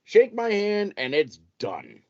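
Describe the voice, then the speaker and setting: A man's voice: high voice, huge pitch swings, slow pace, darkish tone, dull audio, heavily acted. A man acting in a comedic audio play as a wheedling, easily exasperated city wrestling promoter who stammers. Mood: persuasive.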